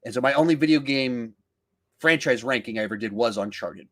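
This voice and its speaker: A man hosting a podcast in casual discussion, acting out his reactions in animated asides. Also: medium pitch, a little fast. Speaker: podcast host.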